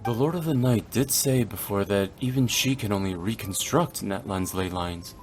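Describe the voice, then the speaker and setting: A man playing a serious young traveler in a video game, speaking in a measured, questioning way. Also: lowish voice, darkish tone, natural voice. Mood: pensive, serious. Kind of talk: dramatic video game dialogue. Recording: studio voice over background music.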